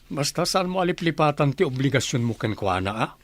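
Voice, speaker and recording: A demanding, gruff uncle from a Filipino radio drama. His pitch rises and falls sharply as he gives orders. The sound is dry and close. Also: sing-song, fairly quick, no room sound. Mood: gruff, reluctant.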